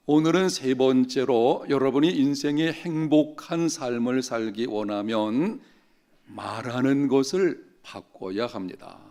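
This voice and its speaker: Korean pastor telling stories in a sermon. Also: male voice, mid-range voice, a little mellow. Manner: storytelling, slowing down to stress key phrases. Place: church sanctuary.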